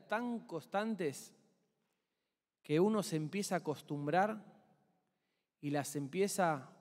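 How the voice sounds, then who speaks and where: A man's voice: high voice, big-room sound. A young man with an Argentine Spanish accent, preaching a chatty sermon and asking the congregation questions. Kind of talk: conversational sermon. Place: church hall.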